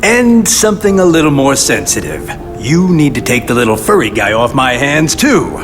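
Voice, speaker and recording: An actor playing a glib, self-important crime boss in a video game cutscene, talking with pompous mock courtesy. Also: male voice, medium pitch, big pitch swings, moderate pace, close to an everyday voice. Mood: smoothly bargaining. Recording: long reverb with loud music behind. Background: music.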